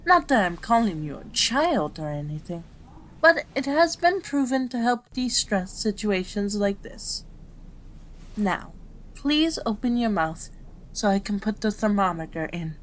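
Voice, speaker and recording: A woman voicing a gentle, patient female kitsune doctor in an ASMR roleplay, with a soothing, polite, measured manner. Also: on the high side, a little hiss or hum.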